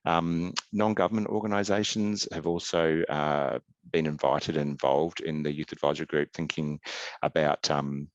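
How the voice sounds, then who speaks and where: Very deep-voiced man, hesitant. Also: steady tone, dry recording, highs cut off. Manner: halting, many ums and restarts. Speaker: health service presenter. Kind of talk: webinar slide presentation. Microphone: video call webcam.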